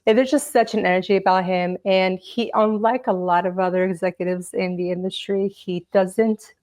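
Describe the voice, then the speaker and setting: A woman whose first language is Portuguese chats as a podcast guest. She tells stories loosely and warmly, packing her talk with "like" and "you know". Her voice sits at a middling pitch. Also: fairly even intonation, normal speed. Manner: chatty storyteller, lots of "like" and "you know". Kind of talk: casual interview chat.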